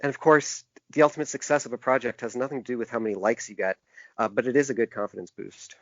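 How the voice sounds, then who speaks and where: A man answering interview questions in a halting, earnest way. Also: medium pitch, steady tone, a bit bright, dry recording. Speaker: interview guest; typographer and book designer. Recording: dull, narrow-band lo-fi sound.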